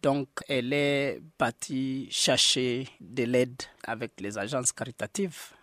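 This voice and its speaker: Middle-aged male interviewee, Kenyan accent, mid pitch, simple non-native phrasing, dry recording. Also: fairly even intonation, slow, fairly bright tone, no echo. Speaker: interviewee, UN official. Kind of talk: interview answers, personal storytelling.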